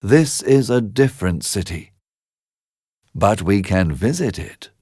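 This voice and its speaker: A man voicing a fisherman's soul in a bedtime story, a calm, sly tempter with a coaxing, soothing delivery. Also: on the low side, slow, slightly rough, no room sound, clean studio sound, full character voice. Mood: sly, commanding.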